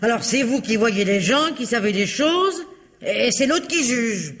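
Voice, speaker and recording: An actress playing a blunt, loyal housekeeper, grumbling and colloquial, in 1950s French radio drama style. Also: female voice, on the high side, lively intonation, unhurried, in a large hall. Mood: indignant, puzzled.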